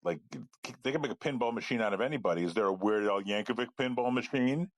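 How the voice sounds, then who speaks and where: A man's voice: medium pitch, quick pace, no room sound. A man leaving a casual, playful, rambling voicemail as a listener.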